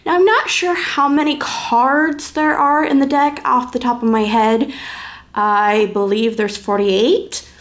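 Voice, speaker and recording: Woman, fairly high voice, informal vlog chat, reviewer thinking aloud, unscripted. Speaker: reviewer presenting a card deck. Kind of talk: casual first-impressions vlog chat.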